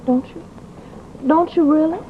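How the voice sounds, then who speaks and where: An actress playing a gentle, wistful woman in old-time drama style. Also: high voice, unhurried. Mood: anxious, coy. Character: gentle, wistful woman freed from witch rumours. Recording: muffled, noisy vintage broadcast recording.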